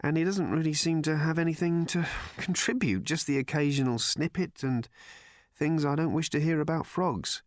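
A man's voice: a little high, moderate pace, dry recording, studio quality, pitched above the performer's own voice, lightly acted. A man voicing a glum, put-upon man who speaks in a weary, stiff, resigned way.

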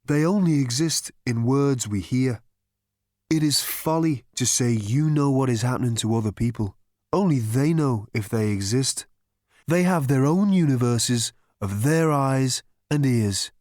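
A gentle, detached hermit philosopher as a man reads him from a storybook. The voice is mid-pitched and close, with little room sound, musing mildly and politely as if doubtful of everything. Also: unhurried, no echo, lightly acted. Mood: calm, philosophical.

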